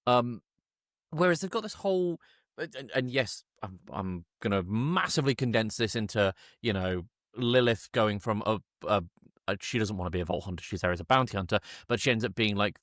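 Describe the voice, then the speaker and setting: Man, podcast co-host, casual review chat, sardonic, exasperated, expressive pitch, dry close recording. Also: no room sound.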